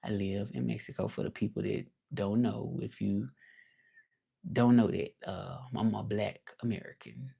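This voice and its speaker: A man with a Southern accent from Memphis talks on a livestream in a loose, reflective, motivational ramble. His voice sits low and carries a gravelly edge with a warm, mellow tone. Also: steady tone, moderate pace. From Memphis, Tennessee. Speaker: livestream host. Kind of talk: casual livestream talk.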